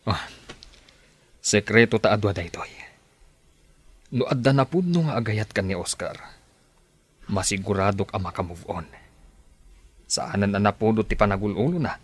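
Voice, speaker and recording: A man's voice: fairly low pitch, normal speed, long pauses, husky. A man performing in a radio drama, in the style of a village comedy announcer and actor. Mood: scheming, animated. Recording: music behind the voice.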